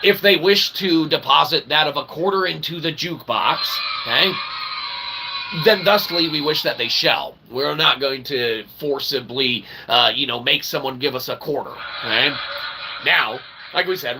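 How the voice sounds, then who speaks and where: A man giving a rambling philosophical monologue as a channel host, with a gravelly voice and wordy, mock-formal phrasing broken by folksy, emphatic check-ins. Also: medium pitch, moderate pace. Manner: emphatic, often checking in with "okay" and "right". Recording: clear background ambient noise.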